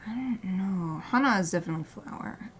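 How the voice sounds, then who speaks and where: Woman artist rambling on stream while painting, mid-pitched, keeps trailing off into near-whispers, laughs a lot. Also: lively intonation, fairly quick, husky. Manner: rambling and chatty, often trailing into a soft murmur; frequent light laughter. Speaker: artist livestreamer. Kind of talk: casual chat while painting.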